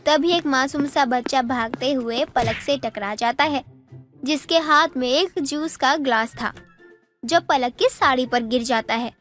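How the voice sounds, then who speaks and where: A woman's voice: high-pitched, unhurried, fairly bright tone, soft background music. A woman narrating a scripted moral story.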